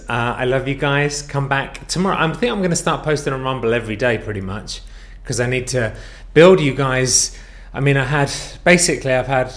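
A man's voice: medium pitch, a bit bright. A man hosting a casual commentary show, talking in a crude, mocking way. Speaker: host.

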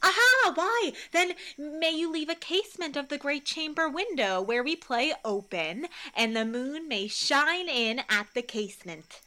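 Boastful weaver and would-be actor played by a woman, high, lively, forceful voice, Shakespeare radio comedy. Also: unhurried, few pauses, heavily acted. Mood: excited. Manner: theatrical, self-important, emphatic. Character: Bottom, bossy, boastful amateur-actor weaver, male. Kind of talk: Shakespeare radio play acting.

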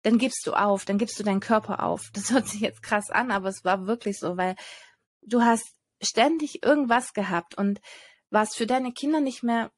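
A woman's voice: mid-range voice, moderate pace, no room sound. Earnest hesitant woman, dry close sound. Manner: earnest, hesitant with frequent fillers. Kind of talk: personal testimony in casual conversation.

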